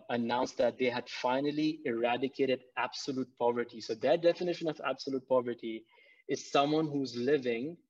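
A man's voice: medium pitch, a little mellow, highs cut off. A man from Pakistan, a guest in a casual conversation, talking earnestly and often repeating words as he gathers his thoughts. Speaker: podcast guest.

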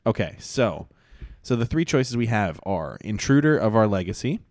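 Lowish guy hosting a podcast, rambly and chill, dry close sound. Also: big pitch swings, normal speed, a little mellow, dry recording. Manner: laid-back, rambling with fillers and frequent laughs. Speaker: podcast host. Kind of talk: casual chat.

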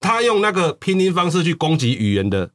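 A man's voice: on the high side, very animated, fairly quick, noisy background. A man with a Taiwanese accent explaining his views in casual discussion, emphatically repeating his points. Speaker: podcast speaker on language.

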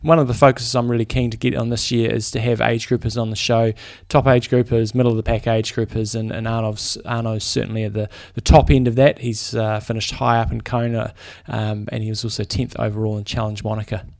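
A man's voice: on the low side, flat delivery, fairly quick, vocal fry, few pauses, darkish tone, no room sound, clean studio sound. A man with a New Zealand accent, a triathlon coach co-hosting a podcast, easygoing and rambling in casual chat. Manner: easygoing, rambling, restarts his sentences.

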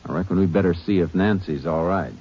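Deep-voiced stern Texas Ranger. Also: male voice, slightly rough. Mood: firm. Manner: terse, firm, measured. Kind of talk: 1950s radio acting. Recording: dull old radio recording with hiss.

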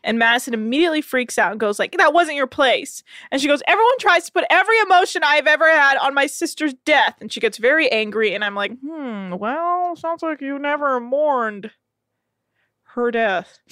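Casting: woman, higher voice, bright and forceful, sarcastic casual podcast recap, dry close sound. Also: normal speed, no room sound. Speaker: podcast co-host recapping a reality show. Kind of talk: casual chat.

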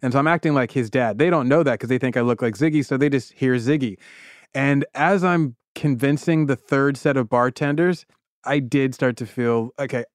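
A man co-hosting a podcast in casual chat, joking and reacting quickly. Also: mid-range voice, dry recording, studio quality. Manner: jokey, quick reactions and laughs. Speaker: podcast co-host.

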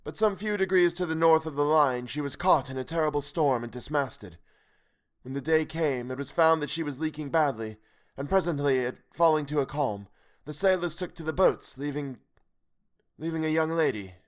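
A man voicing a weary older male castaway who speaks from a boat in the dark, with halting, broken pauses. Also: on the high side, voice pushed up, lightly acted. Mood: solemn.

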